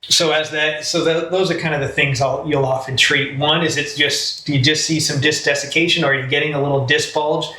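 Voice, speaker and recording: Bright-voiced man explaining in a roomy space. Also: on the high side, steady tone, a little fast, hardly any pauses. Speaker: medical expert guest on a health podcast. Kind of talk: conversational medical explanation. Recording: roomy echo, faint background noise.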